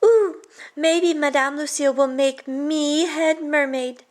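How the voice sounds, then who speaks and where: A woman's voice: high-pitched, slow, voice pushed up. A woman voicing a dramatic, fancy-talking little girl in a children's storybook, with theatrical emphasis. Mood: proud.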